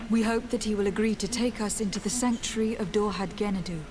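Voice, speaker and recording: A woman playing a composed, formal young noblewoman explorer in a video game, speaking in measured, earnest sentences. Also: mid-range voice, steady tone, lightly acted. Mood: earnest, curious.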